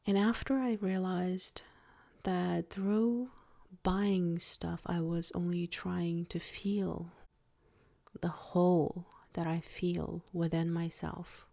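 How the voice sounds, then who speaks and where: A woman guiding a soft-spoken ASMR meditation in a breathy, near-whispered voice, with gentle, deliberate phrasing. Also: fairly low pitch, level tone. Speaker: ASMR creator and meditation guide.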